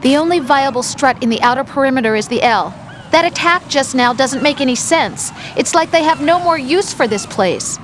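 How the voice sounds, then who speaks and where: Young female game operator: high voice, informative, helpful, concerned. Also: lively intonation, moderate pace, slight background noise. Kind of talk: dramatic video game acting.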